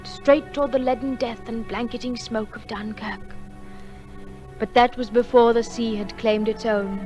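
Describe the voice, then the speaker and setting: Woman playing solemn drama narrator, higher voice, measured and poetic, music behind her. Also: close to an everyday voice. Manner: measured, lyrical, reverent. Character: solemn female storyteller who witnessed a wartime legend.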